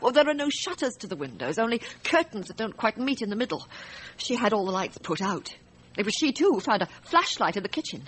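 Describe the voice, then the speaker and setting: A woman playing a frightened, distressed wife in a radio drama, recounting her story in a halting, hesitant way. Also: on the high side, big pitch swings, a bit bright, breathy edge, full character voice, poor audio. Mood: weary, bitter. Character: frightened, distressed wife testifying about a night shooting.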